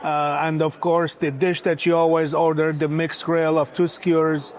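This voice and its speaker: A man talks about his business in a relaxed interview. His voice sits a bit high. He stops often to search for words and fills the gaps with "uh" and "um". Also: normal speed. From Morocco. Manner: hesitant, many filler sounds. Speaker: restaurant founder, guest. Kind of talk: casual interview.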